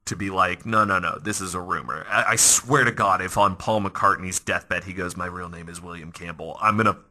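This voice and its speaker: A man hosting a podcast, telling stories in a casual, animated, conversational way that often restarts mid-thought. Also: low-pitched, a little fast. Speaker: podcast host. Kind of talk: casual storytelling podcast talk.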